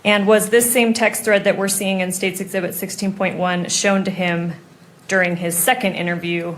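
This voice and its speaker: A woman's voice: mid-range voice, little pitch movement, moderate pace. An American woman questioning a witness as an attorney in a courtroom, speaking formally, methodically and precisely. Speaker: prosecuting attorney examining a witness. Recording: noisy courtroom audio.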